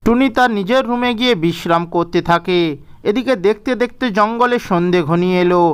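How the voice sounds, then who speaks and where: Male narrator for children's tales: high-pitched, crisp and clear, scripted storytelling. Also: normal speed.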